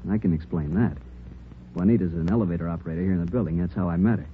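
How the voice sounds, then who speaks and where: A polite, puzzled American boyfriend played by a man in a 1940s radio drama. He speaks low and quickly, earnest and a little hesitant. The sound is muffled with faint noise. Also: lightly acted. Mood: earnest, worried. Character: polite, puzzled, concerned young boyfriend, dental lab worker.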